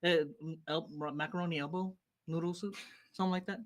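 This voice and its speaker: A man's voice: a little high, steady tone, fast talker. A man co-hosting a live radio talk show, joking and reading chat comments in a casual, playful way. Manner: playful, laughs often, reads chat comments aloud.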